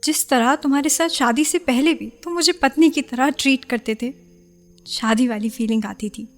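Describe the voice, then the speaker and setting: An anguished young woman in a radio drama, has a clearly high voice. She pleads and defends herself, torn between love and family. Music sits underneath her words. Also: normal speed. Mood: tender, wistful. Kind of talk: Hindi radio play acting.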